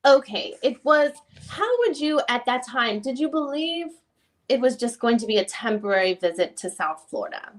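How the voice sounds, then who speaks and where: A high-pitched female lawyer, voiced by a woman works through a deposition reading. She puts probing questions in a formal, somewhat halting way. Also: animated, natural voice. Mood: questioning. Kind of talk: dramatic reading of a deposition transcript.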